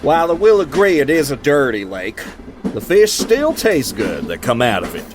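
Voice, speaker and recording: A man's voice: sing-song, normal speed, close to an everyday voice. A man playing a thoughtful, questioning steam train crewman in an audio drama, speaking in a curious, reflective way. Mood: curious, easygoing. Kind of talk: amateur audio drama acting. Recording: noisy rumbling train background.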